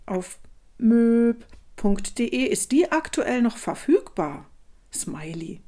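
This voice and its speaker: A woman's voice: on the high side, sing-song, slow pace, warm tone. A woman playing a gushing social media influencer, reading posts, hashtags and emojis aloud in an audio collage. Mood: cheerily promotional.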